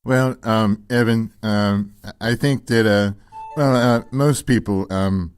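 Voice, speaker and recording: A man playing a mild, pompously wordy pseudo-intellectual radio co-host in a comedy sketch, deadpan and very hesitant, stammering through his musings. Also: lowish voice, slow pace, smooth. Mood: ponderous, musing.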